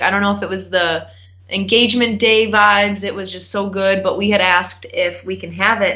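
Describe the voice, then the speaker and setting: A woman with a bright, forward voice at an average pitch talks casually as a guest. She recalls things in an informal, conversational way. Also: highs cut off. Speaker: podcast guest, bride recalling her wedding.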